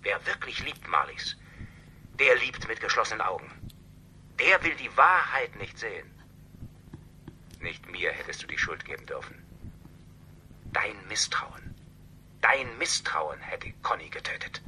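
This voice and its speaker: Crime radio play: cool, evasive male confidant, piercingly bright tone, slight background noise. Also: lowish voice, big pitch swings, unhurried, long pauses, heavily acted. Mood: reproachful. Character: evasive, cool, calculating male family friend. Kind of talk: German radio crime drama acting.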